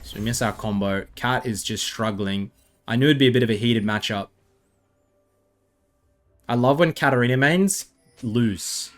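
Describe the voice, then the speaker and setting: A man's voice: medium pitch, normal speed, long pauses, soft background music. An Australian man giving rambling, self-mocking commentary over gameplay, full of chatty asides. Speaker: gaming video creator.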